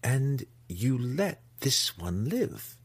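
A man voicing a menacing, imperious female dark elf priestess who speaks slowly and commands. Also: medium pitch, very animated, breathy edge, pitched above the performer's own voice, exaggerated voice. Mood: slow, appraising. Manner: slow, deliberate, commanding.

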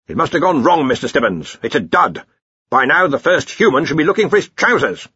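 A male reader acts out a blustering elderly wizard in charge of a magical college. He is brusque and scornful, pitched above plain narration, with animated swings and a dull-edged recording. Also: fairly quick, husky, no room sound, full character voice. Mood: gruff, dismissive. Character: blustering, bossy older male wizard university head.

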